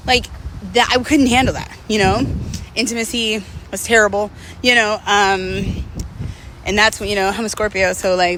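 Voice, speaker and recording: A woman's voice: a little high, a bit bright, rough recording. A woman in her thirties talking casually and candidly, reflecting in a confessional way as she walks outdoors. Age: 30s. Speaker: vlogger. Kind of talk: casual confessional chat while walking. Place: outdoors, walking. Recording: clear outdoor urban traffic noise.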